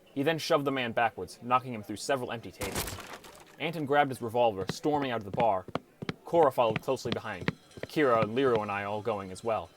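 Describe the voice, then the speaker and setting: A man's voice: medium pitch, fairly quick, background noise. A man reading a scripted first-person story as an audiobook narrator.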